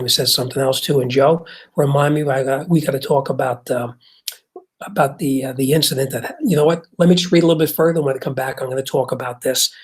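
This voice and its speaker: A man's voice: mid-range voice, husky, low-quality recording. A middle-aged man with a New Jersey accent, a mechanic hosting a casual livestream. He answers viewers' car questions in a conversational way, with lots of fillers and restarts. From Jersey City, New Jersey. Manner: conversational, reads chat comments aloud, frequent fillers and restarts.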